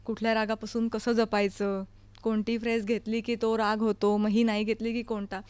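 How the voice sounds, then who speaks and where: A woman's voice: on the high side, flat delivery, moderate pace, darkish tone, clean tone, dry recording. A woman, a classical vocalist speaking as an interview guest in a studio. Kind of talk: conversational interview. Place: recording studio.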